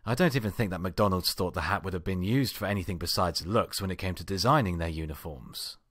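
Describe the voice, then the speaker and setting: A man with a deep voice reads a first-person tale as an amateur narrator. His delivery is expressive and theatrical, acting out the exasperation in the text. The sound is dry, with very little room in it. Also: brisk, no room sound. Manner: expressive, acts out exasperated outbursts. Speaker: amateur fan fiction reader. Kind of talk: dramatic first-person story reading.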